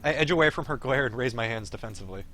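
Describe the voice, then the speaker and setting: A man's voice: mid-range voice, animated, very fast, bright, forceful tone, no room sound, faint music behind. A man chatting casually on a game livestream, blunt and sweary, reading from the game at times. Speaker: streamer reading a visual novel aloud. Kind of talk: casual livestream chat with read-aloud game dialogue.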